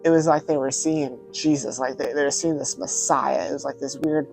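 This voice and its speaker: A man's voice: on the high side, fairly even intonation, moderate pace, creaky, a little mellow, faint music behind, rough recording. A man giving informal, emphatic video commentary as a host, repeating words for stress in a casual, conversational way. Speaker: video host reviewing films. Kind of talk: casual spoken vlog commentary.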